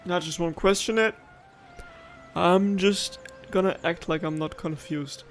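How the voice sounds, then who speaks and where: A man's voice: high-pitched, fairly even intonation, measured pace. A man giving casual let's-play commentary, musing aloud and rambling with playful complaints. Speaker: gaming streamer. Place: own room at home. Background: game music.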